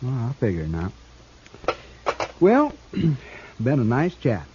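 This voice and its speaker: A man's voice: medium pitch, huge pitch swings. A man acting a cocky, sly jailed outlaw in a western radio drama, talking in a folksy, mocking way. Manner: folksy, mocking drawn-out delivery. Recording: dull, noisy old radio recording.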